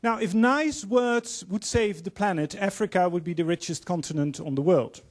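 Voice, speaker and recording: A man in his fifties with a Dutch accent, giving an earnest, measured opening speech as panel moderator in a large auditorium, holding a handheld mic. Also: high-pitched. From Netherlands. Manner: earnest, measured, quoting speakers.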